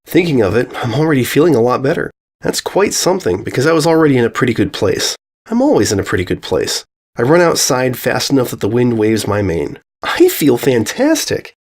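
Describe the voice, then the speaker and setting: Guy doing a restless girl pony telling her own story, giddy n anxious, pitch jumps all over. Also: brisk. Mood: manic, wistful. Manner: expressive, theatrical, self-questioning. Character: young female pony, manic, cheerful, restless and anxious. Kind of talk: first-person dramatic storybook narration of fan fiction.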